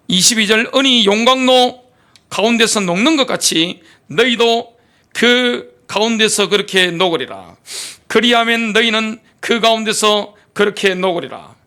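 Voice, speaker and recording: A man delivers a sermon from the pulpit, his pitch sitting high and swinging widely from line to line. He reads scripture in slow, weighty phrases, then turns to the congregation with rhetorical questions. His tone is bright and forceful. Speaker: pastor.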